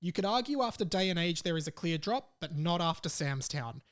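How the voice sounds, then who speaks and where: Higher-voiced young Australian man hosting a podcast. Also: expressive, brisk, a bit bright. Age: young adult. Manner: informal and chatty, reads out listener messages. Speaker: podcast host. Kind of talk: casual solo podcast chat.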